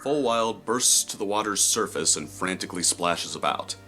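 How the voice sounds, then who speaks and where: A man reading scripted story narration in a measured, descriptive way. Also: low voice, animated, music in the background.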